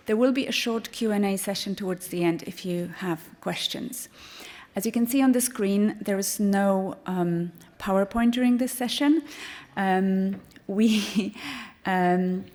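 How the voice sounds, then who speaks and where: A woman chairing an informal conference panel as host, speaking in hesitant, halting phrases. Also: medium pitch, a little mellow.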